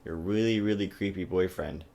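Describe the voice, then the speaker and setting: A man's voice: low voice, moderate pace, gravelly, dark tone. A man reading a novel aloud in a theatrical, exaggerated way and adding sarcastic comic asides, recorded on a webcam. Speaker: amateur reader and comic commentator. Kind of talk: mocking dramatic book reading with sarcastic asides. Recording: choppy amateur webcam recording.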